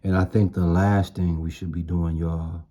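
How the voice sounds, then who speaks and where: A man's voice: low-pitched, little pitch movement, normal speed, dark tone. A man giving an informal sermon in blunt, streetwise slang, repeating phrases for emphasis. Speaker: street-style preacher.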